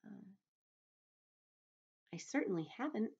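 A woman's voice: fairly high pitch, animated, a little slow, darkish tone, breathy edge, muffled recording, pitched above the performer's own voice, heavily acted. A woman voicing a polite, earnest young boy with a hesitant, courteous manner, for a storybook. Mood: polite, curious.

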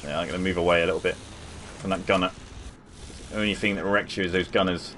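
A man's voice: sits low, steady tone, fast, noisy background. A young man chatting casually while streaming a shooter game and answering his chat. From United Kingdom. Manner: chatty, laid-back, reads and answers chat. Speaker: gaming livestreamer. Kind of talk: casual live commentary over gameplay.

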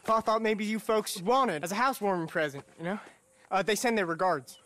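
Eager young ranch lad. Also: male voice, high voice, fairly quick, fairly bright tone. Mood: eager, polite. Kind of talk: video game cutscene acting.

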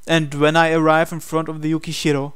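A man's voice: on the high side, completely flat delivery, brisk, a bit bright, clean tone. A man reading a visual novel aloud as dramatic narration.

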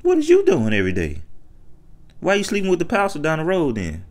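A man's voice: fairly high pitch, wildly swooping pitch, a little fast, darkish tone. A man with an American accent giving an unscripted, opinionated commentary in colloquial, repetitive speech with emphatic rhetorical questions. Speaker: online commentator.

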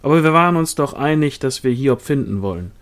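A man's voice: medium pitch, lively intonation, brisk, natural voice. A man voicing a good-natured, reassuring and determined rider in a fantasy audiobook. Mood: soothing.

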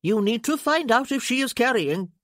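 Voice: medium pitch, lively intonation, normal speed, a bit bright, no echo, clean studio sound, pitched above the performer's own voice, lightly acted. A storybook narrator voicing a puffy, over-eager little lord who prattles on insistently.

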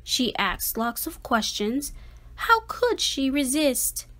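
A woman reading a rhyming children's picture book aloud with expressive, dramatic and deliberate phrasing. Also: high-pitched. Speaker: storybook reader.

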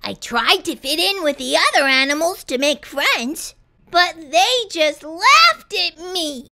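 A woman voicing a lonely young giraffe longing for friends in an earnest, childlike, expressive storybook style. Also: very high voice, slow pace, pitched above the performer's own voice, heavily acted. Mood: plaintive. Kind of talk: children's storybook character reading.

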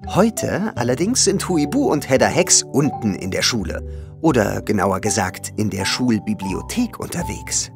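A man's voice: medium pitch, big pitch swings, normal speed, creaky. A man narrating a scripted children's audio play. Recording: music under the voice. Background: music.

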